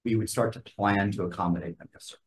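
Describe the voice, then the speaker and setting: Man, very deep voice, formal halting testimony, official, hearing room. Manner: halting, formal, many fillers. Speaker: emergency management official.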